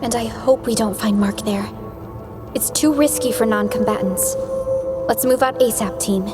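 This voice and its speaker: A woman playing a calm, authoritative squad leader in a video game, explaining things in a composed, serious way. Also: a little high, moderate pace. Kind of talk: video game cutscene acting. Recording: loud game music behind.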